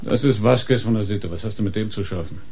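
An actor playing a hard, sarcastic police inspector grilling suspects in a radio crime drama, pressing and mocking. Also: male voice, on the low side, fast, creaky, mellow, husky. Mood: taunting.

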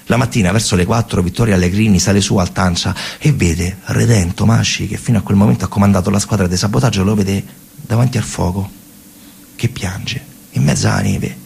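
A man performing dramatic theatrical storytelling, in short emphatic phrases with repetitions and deliberate pauses. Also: fairly low pitch, steady tone, vocal fry, a little mellow, husky. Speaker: stage storyteller, actor performing a narrative monologue.

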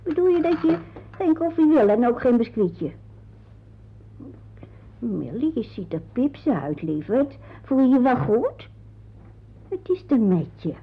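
A woman playing a fussing, coaxing aunt and mother of grown sons in a radio drama, halting and repeating herself, with a husky voice. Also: on the high side, sing-song, exaggerated voice. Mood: coaxing, concerned. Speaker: radio play actress. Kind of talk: radio drama monologue to a silent listener. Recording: dull, muffled radio play recording.

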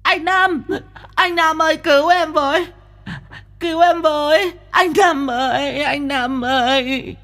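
A man doing a shrill, terrified ghost girl. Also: lively intonation, normal speed. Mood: crying for help. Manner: shrill crying pleas. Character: terrified young woman ghost, pleading for rescue.

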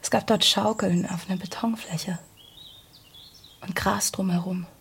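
Breathy, whispering, dreamy woman. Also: mid-range voice, steady tone, measured pace, full character voice. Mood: dreamy, wistful. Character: dreamy woman recalling childhood in intimate whisper. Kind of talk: modern radio drama acting.

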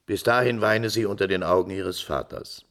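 A man's voice: lowish voice, steady tone, moderate pace, fairly bright tone. An actor playing a proud, anguished middle-aged father in a 1950s German radio drama, stern and theatrical, bitterly holding back rage. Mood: stiff pride. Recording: vintage 1950s radio recording.

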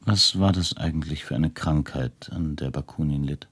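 An actor playing a puzzled, persistent researcher who questions witnesses in a measured, inquiring way in a German radio drama. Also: male voice, a bass voice, a bit bright. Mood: curious, probing.

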